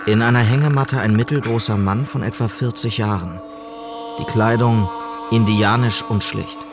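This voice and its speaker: An actor playing a dutiful, earnest police sergeant in a German radio western, speaking in clipped, terse phrases. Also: male voice, on the low side, normal speed, noisy background, lightly acted. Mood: sober, determined. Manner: clipped, terse log-entry phrasing, sober and determined. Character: dutiful, earnest male police sergeant in 1890s frontier America.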